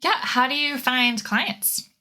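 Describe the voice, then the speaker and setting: A woman with a fairly high, very bright voice hosts a casual interview. She speaks conversationally, as a podcast interviewer. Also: animated, takes it slowly.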